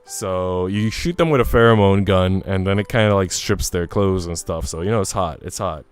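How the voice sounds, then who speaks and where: A man's voice: low voice, normal speed, clean tone. A man chatting casually on a game livestream in an informal, slangy, rambling way. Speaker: game streamer. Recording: music playing behind the voice. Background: music.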